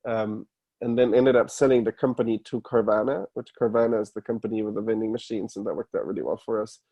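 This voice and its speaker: Mellow German-accented man explaining things. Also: lowish voice, steady tone, fast, lo-fi sound. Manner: explains step by step with examples. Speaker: podcast guest, startup founder. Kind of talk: casual explanatory interview talk. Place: remote call.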